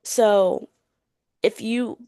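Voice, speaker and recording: Woman, creaky voice, dry close sound, chatty, informal, emphatic, podcast co-host. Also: medium pitch, fairly even intonation, extremely slow, mellow, no echo. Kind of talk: casual book chat.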